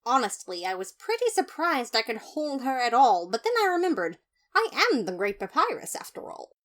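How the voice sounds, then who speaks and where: A woman reading scripted first-person fiction in a dramatic, expressive narrator's manner. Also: fairly high pitch, normal speed. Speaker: podfic narrator.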